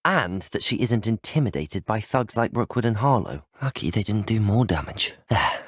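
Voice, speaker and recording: A man's voice: lowish voice, animated, moderate pace, husky, no room sound. A young man with a British accent voicing a polite, earnest, curious teenage wizard student in a video game, speaking in a courteous, well-spoken way. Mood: earnest, concerned. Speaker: player character voice actor. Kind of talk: scripted video game voice lines.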